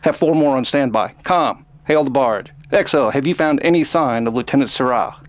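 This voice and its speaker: A man's voice: mid-range voice, moderate pace, dry recording, natural voice. A man playing a stern starship captain in an audio drama, giving terse, commanding orders.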